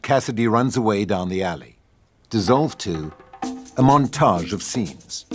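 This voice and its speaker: Male narrator for script directions, lowish voice, clear music bed underneath. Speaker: narrator reading stage directions.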